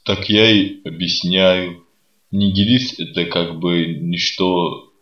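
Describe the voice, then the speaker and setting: Male nihilist radio guest, acted: dour, unhurried, dry sarcasm for comedy, muffled sound. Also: deep voice. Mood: deadpan. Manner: unhurried, sardonic, deadpan. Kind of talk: comedy radio sketch acting. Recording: roomy, dull lo-fi sound.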